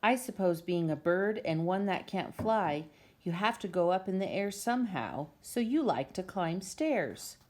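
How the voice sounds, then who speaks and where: A woman's voice: lowish voice, expressive, a little slow. A woman voicing a mild, humble, patient man from a storybook, speaking politely. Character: mild, humble, patient husband with pet penguin. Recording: clear background noise.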